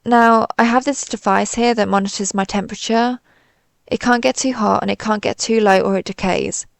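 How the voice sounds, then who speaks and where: A woman's voice: medium pitch, creaky, no room sound, lightly acted. A woman playing a timid, suspicious alien pizza slice who rambles hesitantly and puts herself down in a scripted audio roleplay. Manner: hesitant, rambling, self-deprecating.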